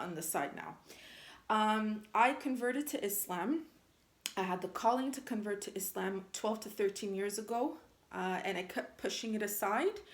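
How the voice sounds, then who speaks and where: Earnest Armenian-born woman in an echoey room. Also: medium pitch. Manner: earnest, keeps checking in with "okay?". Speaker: travel vlogger. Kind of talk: casual direct-to-camera monologue. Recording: roomy echo.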